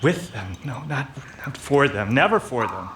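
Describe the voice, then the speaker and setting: An actor playing a detective's sidekick who turns cold and menacing, in a radio play. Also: male voice, mid-range voice, lively intonation, moderate pace, background noise, lightly acted. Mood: defensive. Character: Dawson, male detective's sidekick turned sinister.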